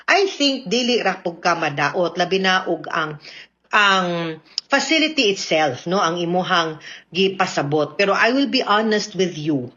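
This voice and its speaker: A woman's voice: on the low side, expressive, measured pace, sharp tone. Cebuano Filipino woman, slightly dull radio sound. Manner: explanatory, conversational, frequent tag questions. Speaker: radio legal advice host, attorney. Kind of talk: spoken legal advice to listeners, mixing in English.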